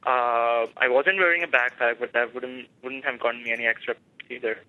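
A man in his twenties with a Pakistani accent, calling in to a radio talk show and speaking haltingly with many restarts. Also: mid-range voice, fairly even intonation, no echo. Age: 20s. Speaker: caller, medical resident. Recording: tinny dry phone line.

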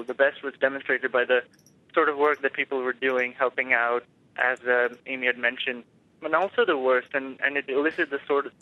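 A man in his twenties with a Pakistani accent, calling in to a radio talk show and speaking haltingly with many restarts. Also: mid-range voice, dry recording. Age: 20s. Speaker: caller, medical resident. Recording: tinny dry phone line.

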